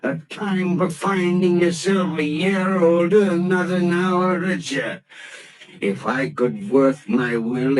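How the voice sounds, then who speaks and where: An actor playing a bitter, miserly old moneylender in a radio drama, snarling and scornful with barking outbursts. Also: male voice, fairly high pitch, rough recording. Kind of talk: 1940s radio acting.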